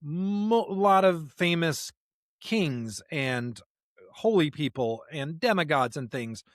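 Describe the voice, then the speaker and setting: Male podcast co-host, slightly high voice, close dry sound, emphatic and discursive on religious matters. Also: animated, takes it slowly, no room sound. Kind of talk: unscripted theological discussion.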